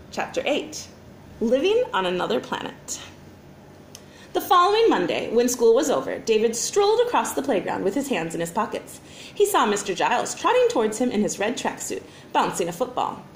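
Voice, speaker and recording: Woman, high lively voice, children's storybook narration, dramatic delivery, echoey room. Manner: animated, dramatic, acts out sound effects. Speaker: teacher reading a children's book aloud. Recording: roomy echo, faint background noise.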